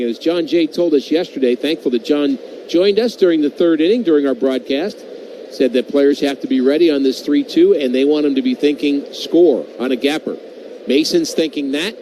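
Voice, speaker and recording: A man doing live baseball play-by-play on the radio, conversational and wry between pitches. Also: warm tone. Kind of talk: live radio sports commentary with casual banter. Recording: noisy ballpark radio broadcast.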